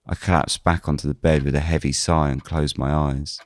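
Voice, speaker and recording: A man playing a weary investigator who narrates a scripted audio drama in the first person, measured and brooding. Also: rumbling low, flat delivery. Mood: exhausted. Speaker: narrator and lead character.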